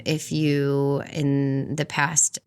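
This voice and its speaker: A woman in her forties co-hosting a book podcast, reviewing in an expressive, chatty way with frequent laughter. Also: a bass voice, extremely slow, smooth. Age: 40s. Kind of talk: book review chat, partly prepared notes.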